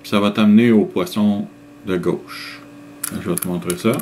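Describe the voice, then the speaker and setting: A middle-aged man with a Québécois French accent reads out viewer comments and answers them in a relaxed, chuckling way, explaining things step by step. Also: lowish voice, moderate pace, slight background noise. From Quebec, Canada. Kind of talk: casual chat reading out comments.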